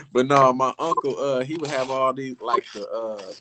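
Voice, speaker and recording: A man's voice: medium pitch, measured pace, noisy background. A middle-aged man from Illinois, a guest rapper telling his story in a casual, profane, rambling way on a podcast. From Illinois, North Chicago area.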